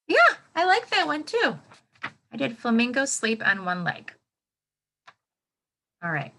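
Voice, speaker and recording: A woman with a bright, clear-cut tone speaks as an elementary teacher to young children. Her pitch swings widely and she takes her time, leaving room for answers. She prompts with questions and encourages in an exaggerated, child-friendly way. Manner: exaggerated, child-directed expressiveness. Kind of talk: interactive read-aloud lesson for young children.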